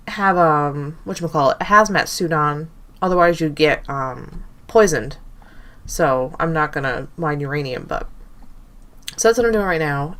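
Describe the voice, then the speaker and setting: Young woman, low-pitched, stuffy nasal voice, allergies, casual rambling game commentary, thinks aloud. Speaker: gaming channel host.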